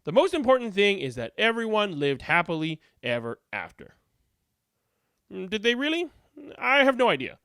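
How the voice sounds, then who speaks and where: Storybook reader, theatrical, emphatic, very wide pitch swings, dry close sound. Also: very animated, normal speed, a bit bright, clear, resonant tone, no room sound. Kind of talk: animated read-aloud narration.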